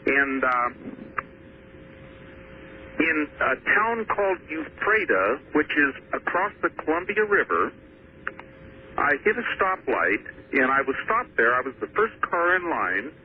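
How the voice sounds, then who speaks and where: A man's voice: fairly high pitch, sing-song, measured pace, pauses often. A man calling in to a radio show, giving a personal account in a hesitant, earnest way. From Washington state, US. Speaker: phone-in caller. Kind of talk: personal account told on air. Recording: thin, noisy phone line to radio.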